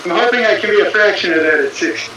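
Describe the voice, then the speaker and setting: Man around fifty, high bright voice, chatty and joking, echoey room. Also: very fast, distant mic, slight background noise. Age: 50s. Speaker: cyclist. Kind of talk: casual chat.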